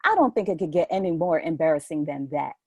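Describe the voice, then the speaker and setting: A woman's voice: low-pitched, animated, fast talker, husky, no echo, muffled recording, full character voice. A woman playing a sassy, scheming young woman in a play reading, taunting and mock-sweet. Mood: taunting, smug. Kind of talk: readers theatre play reading.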